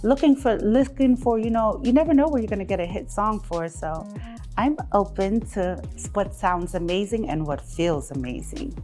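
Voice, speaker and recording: A woman from Jamaica, giving casual, animated and emphatic interview answers as a music artist. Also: medium pitch, normal speed. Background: background music.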